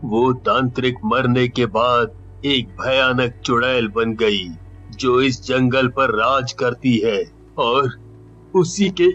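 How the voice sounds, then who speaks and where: Man playing a slow, sorrowful ghost soldier. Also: medium pitch, fairly bright tone, lo-fi sound, slight background noise. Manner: drawn-out, solemn, pleading delivery. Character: sorrowful male ghost soldier, cursed and weary. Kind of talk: dramatic cartoon story dialogue.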